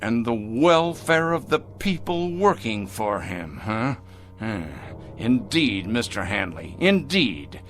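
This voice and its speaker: An actor in a radio drama playing a smug, sardonic factory boss zealous about machines, with grand mockery. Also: male voice, mid-range voice, big pitch swings, slow, slight background noise. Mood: scornful, forceful. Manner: sardonic, grandly mocking, repeating words. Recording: radio drama with music underneath.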